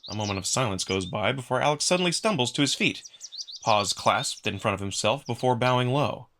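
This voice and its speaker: Man, story narrator, creaky edge, bright forceful tone, medium pitch, dramatic first-person reading. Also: animated, a little fast, a little hiss or hum. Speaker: narrator and voice actor.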